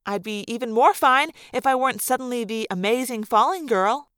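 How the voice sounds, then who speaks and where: A wry young girl from a storybook, acted by a woman, pitched high and flippant. She is sarcastic about any fuss, and the sound is dry and close. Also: brisk, a bit bright, smooth, dry recording, lightly acted.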